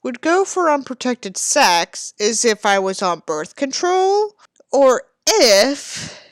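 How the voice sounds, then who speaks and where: A woman's voice: sits high, big pitch swings, slow, no room sound, clean studio sound, full character voice. Woman reading as a self-important brooding young narrator. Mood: earnest. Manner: earnest, self-important, melodramatic. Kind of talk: dramatic book reading.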